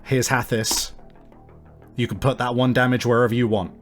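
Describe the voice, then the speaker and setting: A man's voice: mid-range voice, level tone, moderate pace. A man running a tabletop game as game master, narrating in casual, profane language. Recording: music under the voice. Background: background music.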